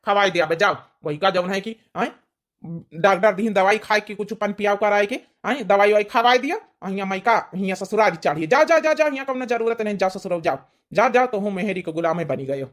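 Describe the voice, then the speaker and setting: A woman puts on an old village father-in-law from a cartoon comedy, exasperated and quick to scold. The voice sits at an average female pitch, with an Awadhi accent and rambling, repetitive phrasing. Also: animated, a bit bright, lightly acted. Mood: angry scolding.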